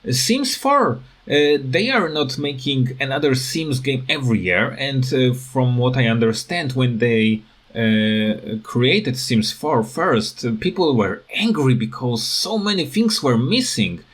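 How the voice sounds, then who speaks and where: A tired man who is not a native English speaker, giving a casual unscripted rant in a halting, rambling way that repeats words. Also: medium pitch, animated. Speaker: gaming video creator.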